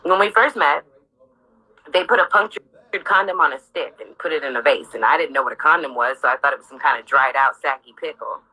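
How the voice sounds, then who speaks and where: Husky, very bright low-voiced woman reading candidly. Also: fairly quick, poor audio. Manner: candid, sardonic, profane. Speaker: author reading from own memoir. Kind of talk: informal read-aloud of memoir with off-script asides.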